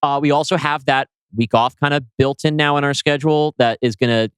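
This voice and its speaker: A man's voice: a little high, fairly even intonation, brisk, smooth, dry recording. A man co-hosting a podcast in a casual, chatty style. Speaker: podcast co-host. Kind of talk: casual chat.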